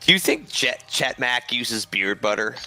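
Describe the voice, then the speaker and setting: A man co-commentating drone races who keeps cracking teasing jokes. His voice is very bright and sharp, with lively swings in pitch. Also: unhurried, soft background music. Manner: wisecracking, teasing. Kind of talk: joking esports commentary.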